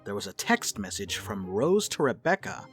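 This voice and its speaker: A woman's voice: very deep voice, big pitch swings, moderate pace, faint music behind. A woman reading scripted narration and stage directions for a dramatic reading.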